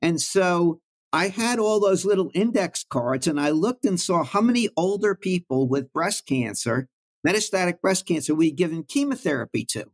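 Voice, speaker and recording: An older man from Brooklyn, telling stories about his career in a warm, reflective way as an interview guest. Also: high-pitched, animated, moderate pace. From Brooklyn, New York. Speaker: guest oncologist. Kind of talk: storytelling in an interview.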